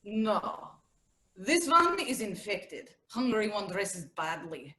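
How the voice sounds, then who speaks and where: A woman's voice: mid-range voice, big pitch swings, takes it slowly, pushed and bright, exaggerated voice, rough recording. A woman playing a terse, odd follower who talks in clipped, blunt sentences in a comedic audio drama.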